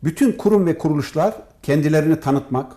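A man with a fairly high, mellow voice speaks as an academic being interviewed. His delivery is formal and measured, laying points out in orderly, list-like phrases. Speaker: professor and department head, interview guest. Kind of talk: formal, prepared-sounding interview answers.

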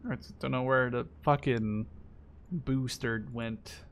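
A man in his twenties giving casual, sweary gameplay commentary, thinking out loud as he plays. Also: mid-range voice, fairly even intonation, slow pace. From Netherlands. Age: 20s. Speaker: video game streamer. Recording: gunfire and explosions from game behind. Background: war game artillery and gunfire.

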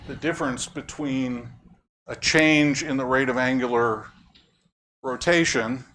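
A man's voice: mid-range voice, fairly bright tone, a little hiss or hum. A man giving a lecture, explaining ideas conversationally, quizzing audience members and cracking wry jokes. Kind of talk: academic lecture with audience questions. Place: lecture room.

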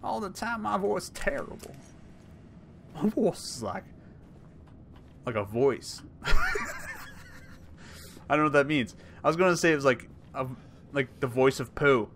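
A man in his thirties commenting on a horror game he plays, rambling and jokey, swinging from mumbles to yells. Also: medium pitch, expressive, a little slow, long pauses, slight background noise. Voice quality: hoarse at times. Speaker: gaming YouTuber. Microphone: headset.

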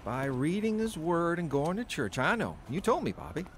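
An actor in an audio drama playing an earnest, emotional, wavering ex-convict who has newly found religion. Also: male voice, on the high side, very animated, moderate pace, a little hiss or hum. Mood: excited, insistent. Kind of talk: audio drama acting and first-person narration.

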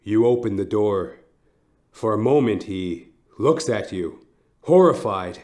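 A man narrating a horror story in a suspenseful, theatrical manner, with short deliberate phrases. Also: lowish voice, lively intonation, darkish tone. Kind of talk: dramatic second-person horror story narration. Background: music.

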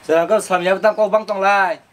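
A man with a Kokborok accent acting in an amateur short film as a relaxed village mechanic. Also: high-pitched, quick pace, lightly acted. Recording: dialogue under loud music bed.

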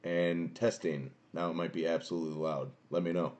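A young man with a booming voice streaming a card box break from a small home room, chatting casually and greeting viewers by name. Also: fairly even intonation, fairly quick, a little mellow. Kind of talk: casual live-stream chat.

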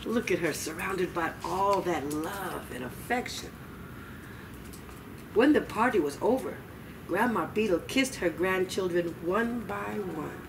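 A middle-aged woman reading aloud to young children, expressive and dramatic, with little asides to her listeners. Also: lowish voice, unhurried, vocal fry. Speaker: library storytime reader. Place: small children's room indoors. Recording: distant mic, noisy room ambience.

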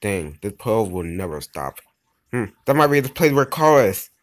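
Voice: mid-range voice, very animated, normal speed, close to an everyday voice. An amateur voice actor playing a casual, bossy, wisecracking video-game character in a homemade cartoon. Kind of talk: amateur animation voice acting.

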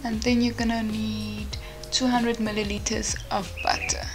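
Young woman, gravelly fry, music behind. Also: fairly high pitch, flat delivery, a little slow. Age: 20s. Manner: informal, addressing viewers directly. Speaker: vlogger. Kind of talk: casual vlog chat and recipe voice-over.

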